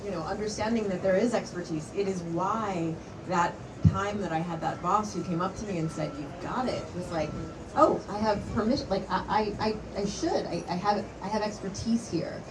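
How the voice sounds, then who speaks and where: A woman's voice: mid-range voice, moderate pace. A woman moderating a panel discussion before an audience, talking energetically with many restarts. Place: conference stage before an audience. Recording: distant mic, noisy event.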